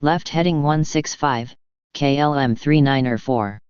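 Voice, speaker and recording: A woman's voice: extremely low pitch, measured pace, a little mellow, smooth, no echo. A woman giving clipped aviation phraseology over an air traffic radio transmission. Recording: dull, band-limited air traffic radio.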